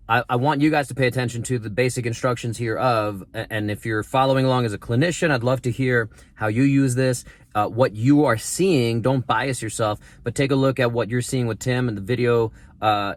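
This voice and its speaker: A male clinician talking casually to camera from his garage, explaining an assessment in a chatty, rambling way. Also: medium pitch. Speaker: physical therapist. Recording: roomy echo.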